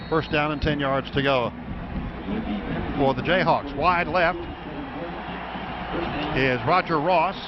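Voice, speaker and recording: A man doing live play-by-play football commentary as a radio announcer. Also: on the high side. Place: stadium broadcast booth. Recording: noisy, dull radio broadcast recording.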